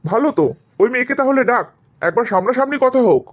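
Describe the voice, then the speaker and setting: A man's voice: extremely high pitch, expressive, fast talker, highs cut off. A man playing a reasoning, insistent middle-aged father in a cartoon family drama, persuasive and emphatic.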